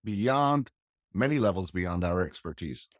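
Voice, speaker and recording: Low-pitched man chiming in briefly. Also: expressive, a little slow, dry recording, studio quality. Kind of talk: casual brief interjections.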